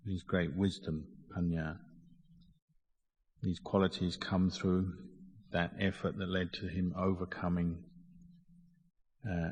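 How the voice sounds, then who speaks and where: A man teaching guided meditation with measured, deliberate phrasing and frequent pauses. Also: deep voice, a little mellow, husky. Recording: long hall reverb.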